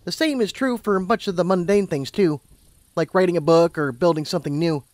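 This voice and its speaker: A man's voice: sits high, fairly quick, darkish tone, no echo, pitched above the performer's own voice, natural voice. A man voicing a confident, kindly female unicorn pony who explains things like a patient mentor. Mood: explaining. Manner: assured, explanatory.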